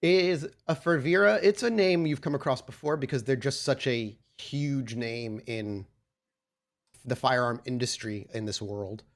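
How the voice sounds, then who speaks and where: A man improvising tabletop game narration as game master, halting as he searches for words. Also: mid-range voice.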